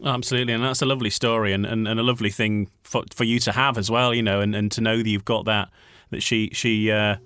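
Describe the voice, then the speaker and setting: A man's voice: lowish voice, little pitch movement, quick pace, a bit bright, clean tone. A man hosting a radio chat, full of restarts and stumbling repeats, laughing along with his guest. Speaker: radio host. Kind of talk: casual radio interview.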